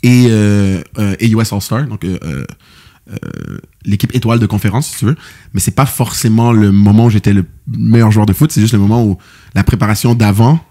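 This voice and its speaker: A man's voice: fairly low pitch, animated, dark tone. A young man with a Québécois French accent, a football player telling stories as a guest in a casual podcast chat, loose and full of fillers and slang. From Quebec, Canada. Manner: quick, loose storytelling with many fillers and slang.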